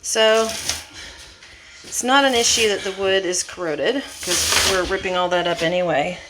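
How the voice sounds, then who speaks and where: A woman's voice: medium pitch, fairly even intonation, unhurried. A woman casually narrating renovation work as a vlogger, informal and thinking aloud. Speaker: vlogger documenting a bus renovation. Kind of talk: casual vlog narration while working. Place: inside a bus being converted. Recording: noisy on-site recording with radio playing. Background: radio and work noise.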